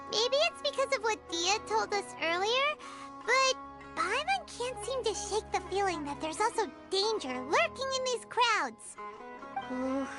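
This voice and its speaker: A woman's voice: extremely high pitch, heavily acted. A woman voicing a small, chatty floating fairy girl companion who talks about herself by name, in a video game. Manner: chirpy, refers to herself by name. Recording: game audio with background music.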